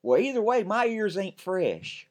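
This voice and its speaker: A man with a deep voice narrating, here voicing a chatty, cheeky ten-year-old country boy in a raised pitch. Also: very animated, unhurried, a little mellow.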